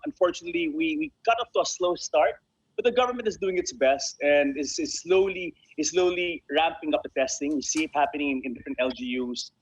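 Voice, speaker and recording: A man's voice: fairly high pitch, slightly rough. A man giving polite, conversational interview answers as a guest, often repeating words as he goes. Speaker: guest, bobsled team president. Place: remote call from Manila. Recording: muffled, lo-fi online call.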